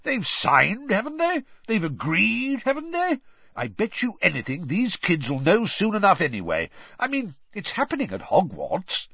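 Jovial, careless sporting gent, performed by a male narrator: high, swooping, breezy, excitable storybook delivery. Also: unhurried, creaky, breathy edge, no room sound, dull audio, exaggerated voice. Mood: excited, dismissive. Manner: bright, breezy, chatty.

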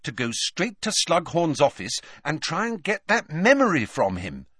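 A man voicing a brisk teenage schoolgirl in an audiobook, matter-of-fact and insistent with her advice. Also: on the high side, huge pitch swings, fairly bright tone, slightly rough, no room sound, studio quality, pitched above the performer's own voice, exaggerated voice.

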